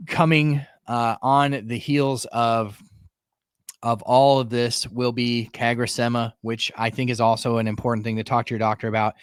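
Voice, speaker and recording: A man presents news commentary as a show host, in a medium-pitched voice. He talks conversationally and earnestly, restating points for emphasis. The sound is dry, with very little room in it. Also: no echo. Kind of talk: informal news commentary to camera.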